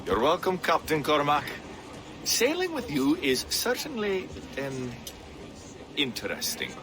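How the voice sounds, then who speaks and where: Courteous, lively naval captain. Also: male voice, on the high side, measured pace. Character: polite, inquisitive male naval sea captain. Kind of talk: dramatic video game cutscene acting.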